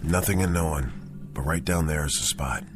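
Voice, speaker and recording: An actor playing a serious army sergeant who knows his history, in a radio drama, speaking in a measured way. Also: a bass voice, over music. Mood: earnest. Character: grave male army sergeant versed in history.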